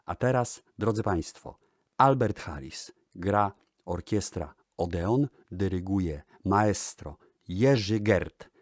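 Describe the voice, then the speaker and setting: Low-voiced, slow-paced man presenting records. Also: animated, dry recording, clean studio sound. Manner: deliberate, pausing between short phrases. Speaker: radio show host. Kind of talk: spoken music radio programme narration with digressions.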